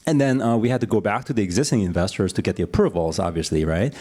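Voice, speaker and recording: A man from Korea speaking on a conference panel, halting with many fillers as he gives earnest advice. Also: fairly low pitch, expressive, warm tone. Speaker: founder on a panel. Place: conference stage.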